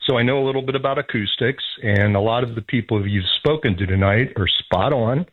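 Polite, deliberate male caller, mid-pitched, on a dry tinny phone line. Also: dry recording. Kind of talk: phone-in chat.

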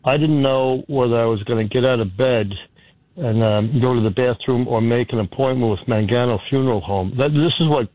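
A man calling in to a radio show, telling a chatty, rambling story with halting restarts. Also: medium pitch, no echo, muffled recording. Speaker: radio caller. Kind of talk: phone-in conversation.

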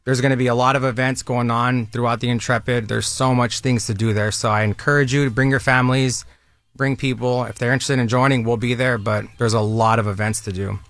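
A man in his thirties talks in a persuasive, friendly way, like a recruiter on a radio interview. His voice sits at a middle pitch. The sound is dry and close with no room echo. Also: flat delivery, a little fast, fairly bright tone. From Southern California. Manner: upbeat, persuasive, conversational. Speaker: military recruiter guest.

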